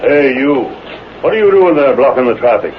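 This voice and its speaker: An actor playing a gruff, bossy big-city beat cop in a 1930s radio drama. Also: male voice, mid-range voice, animated, normal speed, close to an everyday voice. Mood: stern. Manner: brusque, bossy, street-wise slang. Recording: muffled, noisy 1930s radio broadcast.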